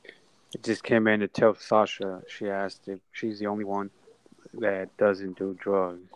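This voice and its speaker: A man's voice: on the low side, level tone, unhurried, clean tone, poor audio. Chatty inquisitive man in a group call. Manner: chatty, asks lots of questions. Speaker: regular hangout participant. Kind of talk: casual online group chat.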